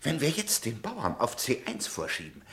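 An eager onlooker in a radio drama, agitated and insistent. His gravelly, mid-pitched voice leaps around and he repeats his words. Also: huge pitch swings, normal speed, a bit bright, full character voice. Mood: excited, insistent. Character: excitable male onlooker eager for a winning move. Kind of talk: radio play acting.

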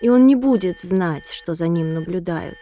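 A woman's voice: fairly low pitch, lively intonation, normal speed, mellow, faint music behind. A woman narrating an audio drama from a script.

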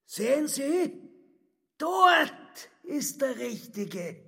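An actor playing an agitated, mocking and fervent man in a literary radio drama, with a theatrical, drawn-out delivery and a husky voice. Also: extremely high pitch, sing-song, fairly bright tone, dry recording, studio quality, exaggerated voice. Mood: agitated, accusing.

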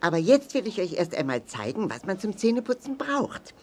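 Eine Schauspielerin spricht einen freundlichen Zahndoktor in einem Kinderhörspiel, mit rauchiger Stimme, eifrig erklärend, betont und ermutigend. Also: female voice, mid-range voice, big pitch swings, fairly quick, a little mellow, slightly rough. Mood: eagerly instructive. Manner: emphatic, explanatory, encouraging. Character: friendly, instructive tooth-care doctor, eager teacher. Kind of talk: children's audio drama.

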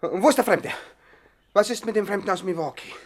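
An actor playing a nervous, nightmare-haunted gang boss in a 1960s radio comedy, husky and highly dramatic. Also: male voice, fairly quick. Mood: panicked. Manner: agitated, dramatic, swinging between loud and hushed.

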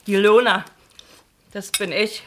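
An actress playing a middle-aged, homely country wife who speaks directly and chattily in a radio play. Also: female voice, medium pitch, fairly even intonation, slow pace, lightly acted. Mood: frank. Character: forthright, homely country wife, proud hostess. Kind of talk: naturalistic radio play dialogue.

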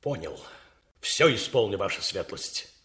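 An actor playing a loyal, respectful prince and general in a radio drama, earnest and formal in a military way. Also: male voice, lowish voice, very animated, unhurried, a bit bright. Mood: obedient. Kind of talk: Soviet radio drama acting.

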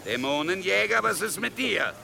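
Menacing, gravelly high-voiced commander. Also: male voice, big pitch swings, normal speed, a crowd in the background, full character voice. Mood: imperious, menacing. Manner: taunting, imperious, theatrical. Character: arrogant, menacing male commander demanding obedience. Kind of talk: German radio drama acting.

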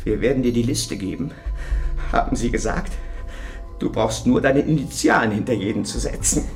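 An actor playing a desperate, confused prisoner under interrogation, speaking in a hesitant, anguished way in a radio crime drama. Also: male voice, medium pitch, moderate pace, darkish tone, close to an everyday voice. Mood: broken, hesitant. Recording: echoey room, faint music.

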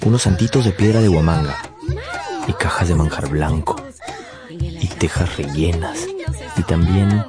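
Nostalgic narrator, low voice, lyrical literary storytelling, guitar music behind. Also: male voice, a little slow, pauses often. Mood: fond. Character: nostalgic grown man recounting his family's past.

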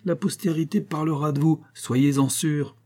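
A man voicing a boastful, sly male giant, reading in a raised, booming voice. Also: fairly even intonation, moderate pace, warm tone, lightly acted.

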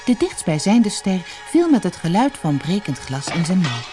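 A storyteller reading a scripted fairy tale in an expressive, dramatic manner. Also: mid-range voice, moderate pace, soft background music.

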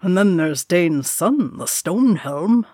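A woman voicing a rowdy young male dwarf drinker in a storybook reading, with jeering, lively banter. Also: fairly low pitch, slow, no room sound, studio quality. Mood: joking.

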